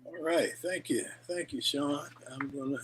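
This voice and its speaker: Male talk-show host, slightly high voice, loose and chuckling between scripted intros. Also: unhurried, a bit bright, slight background noise, poor audio. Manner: loose, chuckling, trails off into asides. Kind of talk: casual radio talk.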